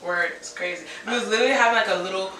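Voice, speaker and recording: A woman in her twenties co-hosting a faith podcast, chatting casually in an animated, slangy way and laughing easily. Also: medium pitch, rapid-fire, roomy sound, distant mic, slight background noise, low-quality recording. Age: 20s. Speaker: podcast co-host. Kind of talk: casual chat.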